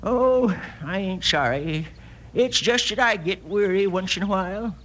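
An actor playing a crotchety, weary old grandpa with a folksy American manner of speech in an old-time radio western. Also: male voice, high-pitched, a little slow. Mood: wry, weary. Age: older. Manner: animated, drawling, folksy. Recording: old radio recording, faint noise.

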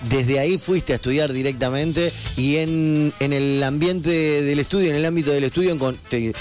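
A man's voice: a little high, normal speed. Radio host guy, chatty, wanders off on tangents, faint music under him. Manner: chatty, digressive, many backchannels. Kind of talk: radio interview chat.

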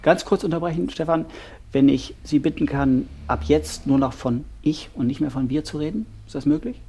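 An actor playing a brisk, controlling male couples therapist with a gravelly, husky voice and direct questions. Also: fairly high pitch, warm tone. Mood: businesslike. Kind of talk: naturalistic German audio drama acting.

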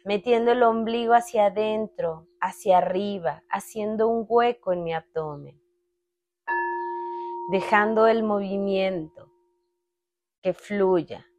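A woman narrating a guided meditation in a calm, instructional manner with long pauses between short phrases. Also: mid-range voice, soft background music. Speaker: meditation guide, podcast host.

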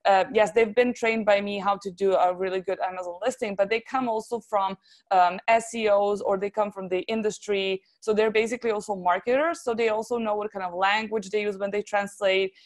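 A woman's voice: medium pitch, steady tone, brisk. A woman from Serbia with a Serbian accent, talking casually and chattily as an interview guest. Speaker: interview guest, translation agency founder.

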